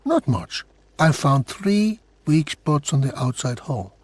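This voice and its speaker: A world-weary secret informant from a video game. He has a husky voice in a dry, roomless recording and briefs in a wry, matter-of-fact way. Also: a little high, sing-song, slow, no echo, exaggerated voice. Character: wry, world-weary male undercover informant at military base.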